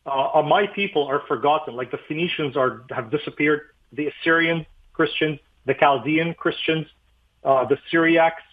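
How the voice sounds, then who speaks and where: A man's voice: on the high side, normal speed. A man, a pastor whose first language is Arabic, explaining history emphatically as a guest in a radio interview, with many fillers. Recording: tinny phone line.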